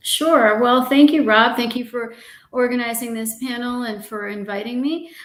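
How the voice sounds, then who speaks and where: A woman's voice: on the high side, steady tone, normal speed, reverberant. A woman professor introducing herself on a panel, polite and speaking with frequent "um"s. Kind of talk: panel discussion.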